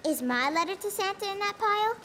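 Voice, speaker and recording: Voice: extremely high pitch, lively intonation, fast, bright, forceful tone, a little hiss or hum, exaggerated voice. An actor voicing an eager, curious, polite little preschool boy in a children's cartoon. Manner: polite, inquisitive.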